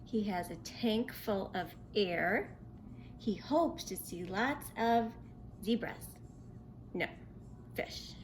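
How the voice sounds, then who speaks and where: A woman reading a picture book aloud to young children in an expressive, questioning way. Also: mid-range voice, slow pace, pauses often, slight background noise, low-quality recording. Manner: expressive, asks the listener questions. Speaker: children's storybook reader.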